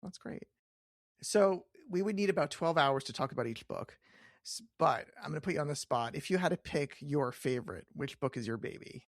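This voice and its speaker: A man's voice: a little high, animated, a little fast, no echo. Enthusiastic male podcast host, close and dry. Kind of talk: casual chatty interview.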